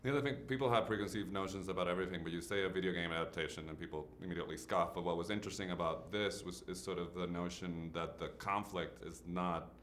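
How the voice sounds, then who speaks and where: A man from Puerto Rico, a native Spanish speaker, asking questions as an interviewer and rephrasing them haltingly as he goes. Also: sits low, steady tone, a bit bright. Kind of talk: conversational press questioning. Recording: faint background noise.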